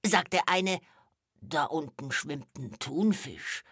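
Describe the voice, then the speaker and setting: Eine Frau spielt für einen Kinderpodcast eine kichernde, aufgeregte Hyäne mit rauer Stimme, die stammelt und alberne Witze erzählt. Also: female voice, low voice, lively intonation, takes it slowly, fairly bright tone, breathy edge, no echo, clean studio sound, heavily acted. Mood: giggly, joking. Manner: stammering, cackling, exaggerated delivery. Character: giggly, excitable female hyena who tells corny jokes. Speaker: comic sidekick of a children's podcast. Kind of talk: children's podcast comedy.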